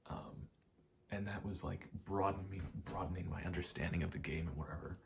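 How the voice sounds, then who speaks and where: A soft-spoken man giving rambling ASMR game commentary, halting and casually profane, often slipping into a whisper. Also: sits low, steady tone, creaky, noisy background. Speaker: gaming video creator.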